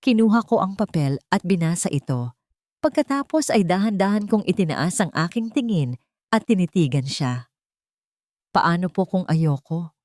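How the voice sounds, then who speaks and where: A woman's voice: mid-range voice, sing-song, normal speed, a little mellow, no room sound, clean studio sound. A woman narrating a first-person audiobook drama in a scripted, dramatic storytelling style.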